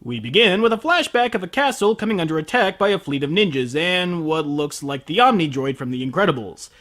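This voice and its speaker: A man hosting a scripted review show in a high voice with very lively intonation. His delivery is sardonic and emphatic, pitched as comedy commentary. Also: brisk, background noise. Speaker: anime review show host.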